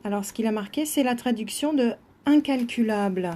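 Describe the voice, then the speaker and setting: A woman's voice: fairly high pitch, fairly quick, mellow. A woman informally teaching and translating between two languages, explaining things in an encouraging way as she guides a reading. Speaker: host guiding a child's reading and translating. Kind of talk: informal bilingual teaching, chatting with live viewers.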